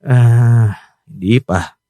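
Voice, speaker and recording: A man's voice: mid-range voice, drawn-out words, dark tone, no room sound. A man playing a remorseful, defensive unfaithful husband and young father in a Filipino radio drama, speaking with a Filipino accent. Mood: uneasy, resigned. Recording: music bed behind the voice.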